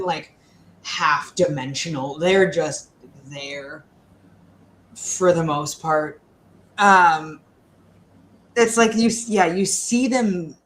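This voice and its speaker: A woman in her thirties chatting casually and bluntly as she co-hosts a book discussion, with a sardonic streak. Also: lowish voice. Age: 30s. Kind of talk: casual chat, book commentary. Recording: roomy echo, faint background noise.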